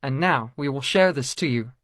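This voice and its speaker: A man's voice: medium pitch, moderate pace, background noise, close to an everyday voice. A man playing a TV continuity announcer, formally and apologetically interrupting with grave news. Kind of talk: amateur mock emergency broadcast announcement.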